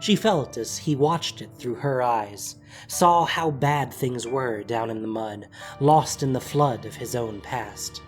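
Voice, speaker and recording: A narrator reading scripted prose for an audio drama. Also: medium pitch. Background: music.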